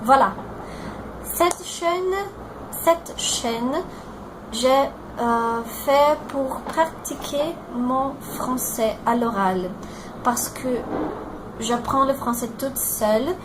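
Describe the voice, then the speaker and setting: Brazilian girl, 20s, talks real slow in a learned language, stops and restarts a lot, traffic noise behind. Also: sits high. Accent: Brazilian Portuguese. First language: Portuguese. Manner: halting, searching for words, many fillers and restarts. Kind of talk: self-introduction video in a learned language.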